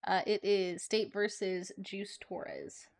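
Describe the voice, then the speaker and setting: A woman at mid pitch, casually running a judge in a roleplay game. She laughs easily and slips into melodramatic pleading asides. Her tone stays chatty and loose. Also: steady tone, slow, gravelly. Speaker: judge character in a roleplay server.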